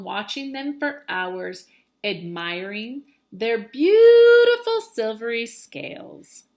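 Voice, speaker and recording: A woman teacher reading a children's storybook aloud, expressively and with dramatic emphasis. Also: fairly high pitch.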